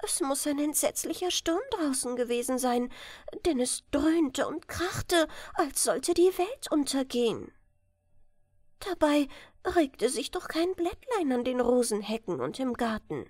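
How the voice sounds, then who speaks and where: A woman voicing a delicate, refined young princess in a fairy tale, soft, dainty and curious. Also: high-pitched, lively intonation, measured pace, dry recording, pitched above the performer's own voice. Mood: plaintive. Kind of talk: fairy-tale storybook reading.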